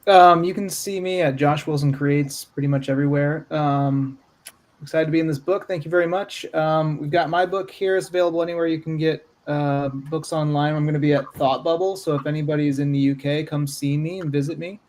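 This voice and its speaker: A man talks quickly as a creator guesting on a livestream. His voice sits a little above average in pitch, and his delivery is casual and conversational. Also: fairly even intonation, a little mellow. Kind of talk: casual self-promotion on a livestream.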